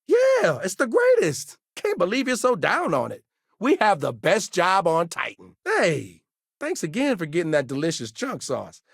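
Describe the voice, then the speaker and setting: An actor playing an eager, gullible fast-food manager in a video game, talking in an upbeat, chatty, salesy way. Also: male voice, a little high, fairly bright tone, dry recording, clean studio sound, heavily acted.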